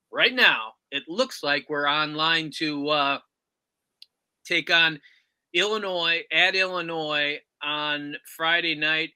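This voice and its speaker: A man hosts a sports talk interview in a very bright, forceful voice. His tone is clear and resonant, pitched slightly higher than most men. He sets up questions for a guest. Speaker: podcast host.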